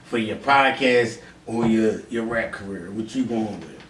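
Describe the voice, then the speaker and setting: A man's voice: on the low side, a little slow, a bit bright. Philadelphia man hosting in a roomy space. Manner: informal, slangy. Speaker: podcast host. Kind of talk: casual interview chat. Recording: roomy, distant mic, faint noise.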